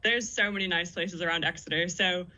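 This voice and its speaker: A woman's voice: lowish voice, fairly even intonation, brisk, sharp tone. A young woman guest in a radio interview, chatty and upbeat, laughing easily as she talks. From born in the US, raised in the Netherlands. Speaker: guest, PhD student.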